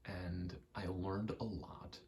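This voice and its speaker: A man's voice: sits low, flat delivery, takes it slowly, gravelly, a little mellow, a little hiss or hum. A young American man in his twenties rambles in a casual, candid ASMR chat, swearing now and then. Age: 20s. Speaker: ASMR rambler and aspiring actor.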